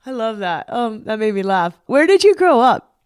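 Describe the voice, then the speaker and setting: A woman's voice: fairly high pitch, very animated, normal speed, darkish tone, clear, resonant tone, dry recording, studio quality. A woman podcast host narrating a scripted intro and interviewing a guest with upbeat enthusiasm. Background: music bed under narration.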